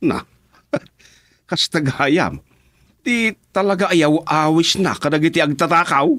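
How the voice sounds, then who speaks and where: A man's voice: fairly high pitch, animated, slow pace, sharp tone, no room sound, exaggerated voice. A man with an Ilocano Filipino accent playing a chatty, agreeable villager in a radio comedy drama. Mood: gossiping.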